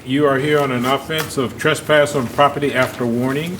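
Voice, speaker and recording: A man presides as judge at a courtroom bond hearing. He speaks at an ordinary pitch in a firm, procedural way and repeats his questions until he gets a clear answer. Faint background noise sits under his voice. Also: steady tone, fairly quick, a bit bright, poor audio.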